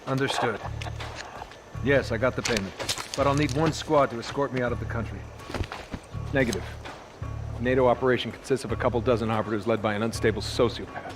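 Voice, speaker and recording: A man's voice: mid-range voice, fairly even intonation, fairly quick, long pauses, close to an everyday voice. Man playing a cold, cynical mercenary villain. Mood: cold, dismissive. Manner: curt, dismissive, sardonic. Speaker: villain giving orders. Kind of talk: cinematic military game acting. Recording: music behind the voice.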